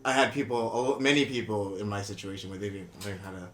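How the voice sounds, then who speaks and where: A man in his twenties from Indonesia, a guest on a podcast, telling his story in a relaxed, rambling way with lots of "you know what I mean.". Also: lowish voice, fast talker, a little hiss or hum. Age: 20s. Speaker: guest, brand co-founder. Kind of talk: casual podcast interview. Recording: echoey room.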